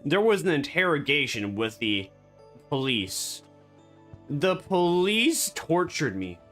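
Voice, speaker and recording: A man streaming a game, rambling and joking in absurd deadpan banter. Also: on the high side, slow pace, faint music behind. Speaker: VTuber streamer. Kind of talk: casual gaming stream chatter.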